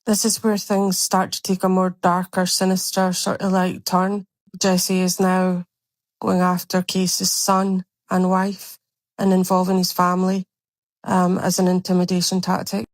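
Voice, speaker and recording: A woman's voice: lowish voice, completely flat delivery, measured pace, dry recording. A woman narrating over screenshots in an indignant, condemning tone. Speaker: commentary narrator.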